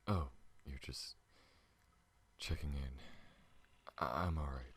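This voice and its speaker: Man voicing a very deep, husky wounded alien pilot. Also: exaggerated voice. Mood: groggy, gentle. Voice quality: deep, husky, breathy, often dropping to a whisper. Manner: halting, soft-spoken, hesitant. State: weak, recovering from injury. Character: tall wounded male alien pilot, earnest and grateful. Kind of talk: ASMR roleplay audio drama.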